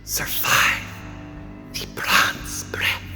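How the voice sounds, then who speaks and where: A game master voicing a menacing sea devil caster in a fantasy tabletop game, whispery and breathy, with halting ceremonial phrasing. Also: pushed and bright, long reverb tail, faint music behind, heavily acted. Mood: ominous, ceremonial.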